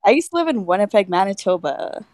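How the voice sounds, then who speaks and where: A woman's voice: medium pitch, sing-song, quick pace, a little mellow, no room sound, clean studio sound. A young woman from Kenya, a guest on a casual podcast, easygoing and chuckling often.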